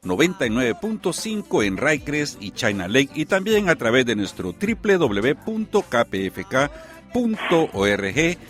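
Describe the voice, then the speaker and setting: A man's voice: mid-range voice, very animated, measured pace, soft background music. A man hosting a community radio show, speaking in an earnest, announcer-like way with frequent hesitation fillers.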